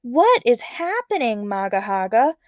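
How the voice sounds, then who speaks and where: A woman's voice: fairly high pitch, big pitch swings, unhurried, darkish tone, dry recording, studio quality. A woman voicing an earnest, wondering young island maiden in a storybook. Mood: alarmed. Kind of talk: storybook read-aloud character.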